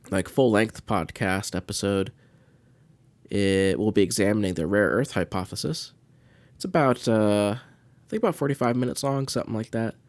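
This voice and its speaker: Deep-voiced man, casual podcast chat. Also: no echo. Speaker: podcast host. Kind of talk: casual unscripted chat to listeners.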